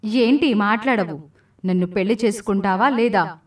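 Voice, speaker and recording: A woman's voice: mid-range voice, normal speed, far from the mic, lightly acted. A cursed demon woman from a magical storybook tale, acted by a woman, heard slightly away from the microphone. She speaks in a commanding, theatrical way, as one used to ruling a village by fear and burdened by a curse. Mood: menacing, demanding. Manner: commanding, threatening delivery. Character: cursed watermelon demoness demanding marriage, menacing then remorseful. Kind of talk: dramatic storybook voice acting.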